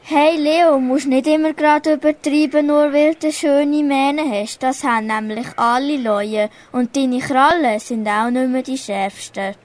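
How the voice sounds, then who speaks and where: Girl as a high-pitched, cheeky Swiss German chatterbox bird. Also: fairly even intonation, a little slow, few pauses, darkish tone. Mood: teasing. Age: teenager. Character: cheeky, gossipy parrot-like talking bird. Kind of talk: amateur school radio play.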